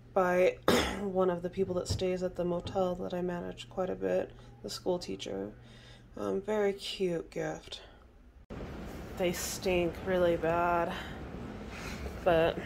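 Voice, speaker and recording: A young woman in her kitchen chatting casually to her phone camera, clearing her throat now and then. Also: lowish voice, background noise. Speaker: vlogger. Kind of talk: casual home vlog narration. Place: home kitchen, indoors.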